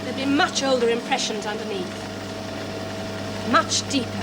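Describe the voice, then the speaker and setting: A woman's voice: a little high, normal speed, natural voice. An English actress playing an anxious, insistent young woman scientist in a 1970s television drama. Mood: intent, preoccupied. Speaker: actress playing a computer programmer. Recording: noisy muffled 1970s TV soundtrack.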